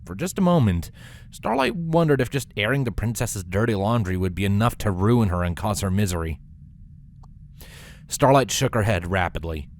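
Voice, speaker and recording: Low-voiced man narrating a story. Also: animated, normal speed.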